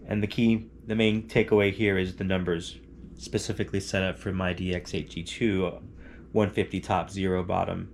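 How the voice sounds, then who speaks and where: Man with a head cold, nasal, low and gravelly; patient step-by-step tutorial delivery, checks in with "okay?". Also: little pitch movement, normal speed, noisy background. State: congested with a cold. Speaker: hobbyist demonstrating a radio setup. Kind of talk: casual how-to tutorial. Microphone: camera on a handheld tripod.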